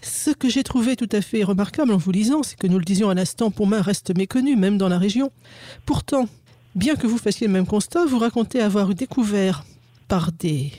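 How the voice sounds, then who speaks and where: A woman hosting a radio interview in a formal, measured way. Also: medium pitch, dark tone, no echo. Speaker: radio host.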